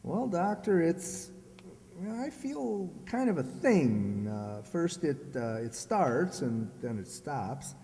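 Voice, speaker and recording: An actor playing a sulky, jealous, self-pitying husband with a plaintive, halting delivery in radio comedy style. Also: male voice, fairly high pitch, very animated, warm tone, slight background noise, exaggerated voice. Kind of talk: old-time radio comedy acting, re-created. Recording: echoey hall, long reverb.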